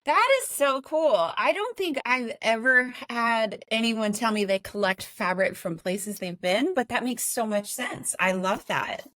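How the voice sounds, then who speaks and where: A woman's voice: fairly high pitch, expressive, measured pace, hardly any pauses, fairly bright tone, no room sound, studio quality. A woman hosting an interview in an informal, conversational way, affirming what her guest says. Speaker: interview host.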